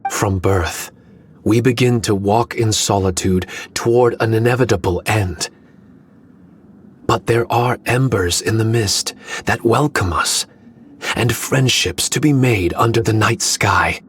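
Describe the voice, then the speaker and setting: Ancient guardian spirit in a game, slow n solemn, formal, bit of ambient noise behind. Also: male voice, on the low side. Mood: resolute. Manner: solemn, formal, measured delivery. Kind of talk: dramatic video game dialogue.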